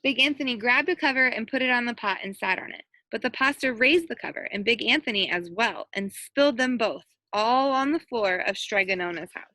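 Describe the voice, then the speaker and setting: A woman reading a picture book aloud in an animated way, with asides to young listeners as a teacher would. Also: a little high, a little fast, few pauses, dry recording, low-quality recording. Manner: animated, acts out quoted lines and points out pictures. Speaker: elementary school teacher reading aloud to children.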